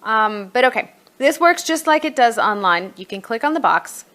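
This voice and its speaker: A woman's voice: on the high side, animated, moderate pace, a bit bright. A middle-aged woman giving a casual product walkthrough as a reviewer, conversational and thinking aloud as she goes. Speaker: homeschool curriculum reviewer.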